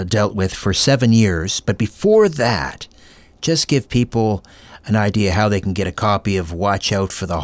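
Low-voiced male interview host. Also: no room sound. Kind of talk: conversational interviewing.

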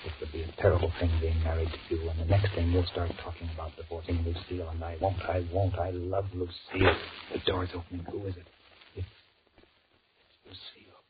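An actor with an American accent playing a cowardly, irritable cheating husband in a 1940s radio drama, switching between muttered thoughts and halting sweet talk. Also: low voice, monotone, natural voice. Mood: resentful, torn. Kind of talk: 1940s radio horror acting with inner monologue. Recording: muffled, noisy 1940s radio recording.

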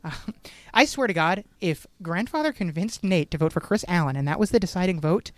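A podcast co-host rattles through an episode recap at a brisk clip, swearing freely and slipping into the characters' lines. The sound is dry and close, with no room around the voice. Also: medium pitch, no echo. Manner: fast, animated, swears, acts out quotes. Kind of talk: casual TV recap chat.

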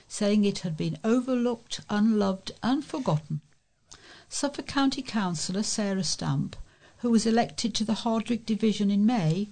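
A woman's voice: mid-range voice, a little mellow. English woman reading out local news. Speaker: volunteer newsreader. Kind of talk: reading old local news items aloud with informal asides.

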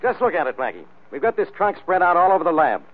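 An actor playing a gruff, exasperated, slow-witted police inspector who blusters bossily in an old-time radio detective drama. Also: male voice, high voice, big pitch swings, phone-quality sound. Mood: baffled, irritated. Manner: gruff, indignant, bossy. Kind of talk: 1940s radio detective acting.

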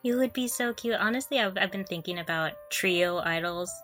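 A woman's voice: mid-range voice, moderate pace, fairly bright tone, soft background music. A woman co-hosting a podcast in casual fan chat, gushing and giggly as she talks. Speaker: podcast co-host.

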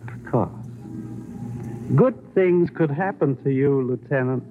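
An actor playing a polite, evasive, unctuous lawyer who fronts for a gangster in a vintage radio crime drama. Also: male voice, mid-range voice, takes it slowly, close to an everyday voice. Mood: smooth, obsequious. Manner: evasive, unctuous, repeats himself formally. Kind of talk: 1940s-50s radio crime acting. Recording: tinny, noisy old radio recording.

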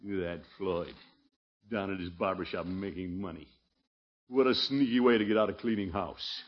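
An actor playing a pompous, scheming middle-aged small-town commissioner in 1950s radio comedy, blustering and self-important. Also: male voice, low voice, moderate pace, natural voice. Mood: grumbling. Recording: muffled vintage 1950s radio recording.

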